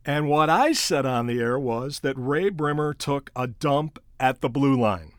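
A man's voice: medium pitch, measured pace, no room sound, studio quality. A middle-aged American man hosting an instructional podcast in an emphatic, coaching way, speaking straight to the listener like a practiced sports broadcaster. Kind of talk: prepared instructional podcast narration with personal anecdotes.

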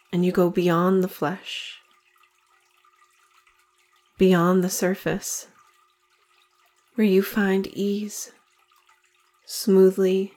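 A woman leading a guided meditation in slow, deliberate phrases with long pauses between them. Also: medium pitch, darkish tone.

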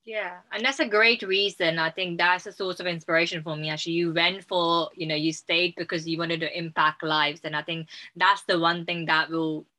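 Woman co-host, bright and forceful, lowish pitch, enthusiastic. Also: fairly even intonation, moderate pace, hardly any pauses. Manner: enthusiastic, warm praise. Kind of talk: interview hosting.

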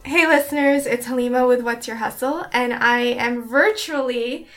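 A woman's voice: a little high, normal speed, a bit bright, soft background music. Earnest woman hosting a podcast. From Canada. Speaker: podcast host. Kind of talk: casual remote podcast chat.

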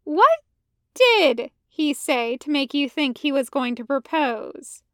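A woman voicing a skeptical, sharp-tongued teenage girl in an audio story, pointed and incredulous. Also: high voice, sing-song, slow pace, dry recording, clean studio sound, exaggerated voice.

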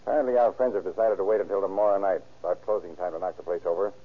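A man playing an unflappable, reassuring police inspector in 1930s radio drama, measured and plain-spoken. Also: deep voice, fairly even intonation. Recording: tinny muffled 1930s radio recording.

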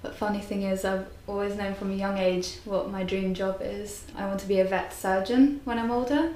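A woman's voice: medium pitch, steady tone, normal speed, warm tone. A sixteen-year-old young woman, answering interview questions. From North London. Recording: echoey room, faint noise.

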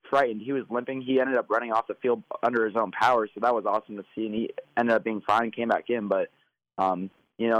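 A man co-hosting a sports podcast by phone, hoarse from yelling, talking casually with many fillers. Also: mid-range voice, no echo. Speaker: podcast co-host. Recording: phone line.

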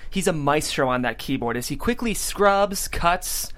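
A man's voice: on the high side, expressive, a little slow, fairly bright tone. A man, hosting and narrating a script read in an upbeat way.

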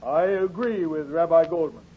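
A man's voice: on the high side, moderate pace, slight background noise, lightly acted. A male actor playing an earnest, pleading clergyman in 1940s radio drama style. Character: earnest, pleading clergyman; later a gruff policeman. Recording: tinny, band-limited 1944 radio recording.

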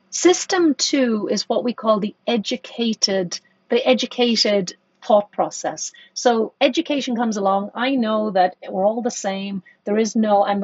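Woman, executive guest, husky mid voice, dull dry podcast sound, thoughtful and hesitant. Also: medium pitch, no echo. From Ireland. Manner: thoughtful, many restarts and fillers. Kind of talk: conversational podcast interview.

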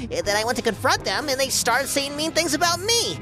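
A woman voicing a small, naive male talking cat in an animated gaming comedy, rambling and stammering. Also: sits high, fairly quick, music in the background. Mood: rambling, sheepish.

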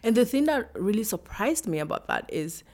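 A young woman founder, raised between Nigeria and the US, talking earnestly in an interview with lively restarts. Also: mid-range voice, fairly quick. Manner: earnest, animated, many restarts. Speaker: startup founder, guest. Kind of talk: interview conversation.

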